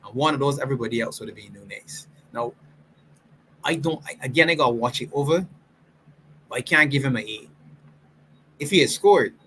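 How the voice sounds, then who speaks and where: A man hosting a casual football reaction chat, explaining tactics at length in an animated way. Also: mid-range voice, breathy edge, rough recording. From Barbados. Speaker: football fan show host.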